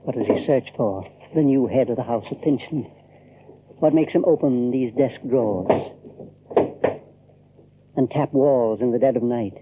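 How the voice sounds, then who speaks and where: A man's voice: mid-range voice, phone-quality sound, slight background noise. A man narrating a scripted drama in a theatrical storytelling style, full of rhetorical questions and repetitions.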